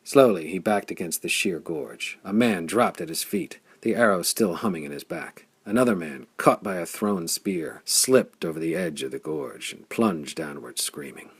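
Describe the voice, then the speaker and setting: A man reading pulp adventure fiction as an audiobook narrator, with a dramatic, intense delivery and a gravelly, husky voice. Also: low voice, sing-song, moderate pace, hardly any pauses.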